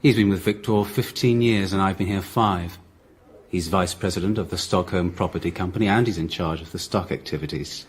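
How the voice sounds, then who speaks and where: A man's voice: sits low, fairly quick, natural voice. A man playing a guarded, curt business executive under questioning in a radio drama. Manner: clipped, guarded, defensive.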